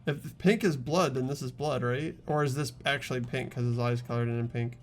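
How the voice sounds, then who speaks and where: A man's voice: mid-range voice, steady tone, moderate pace, faint music behind. A young man hosting a group game playthrough, moving between reading narration and casual commentary.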